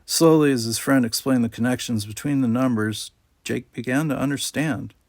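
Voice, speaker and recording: A man's voice: medium pitch, normal speed, a little mellow, no echo, clean studio sound. A man reading a story aloud as scripted audiobook narration. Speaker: amateur audiobook reader.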